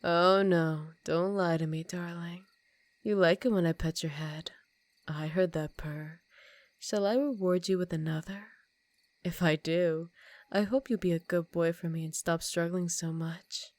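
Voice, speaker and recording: Low, teasing vampire countess acted by a woman. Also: steady tone, no room sound, lightly acted. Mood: seductive, coaxing. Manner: slow, teasing, condescending, with breathy half-whispers. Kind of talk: ASMR roleplay.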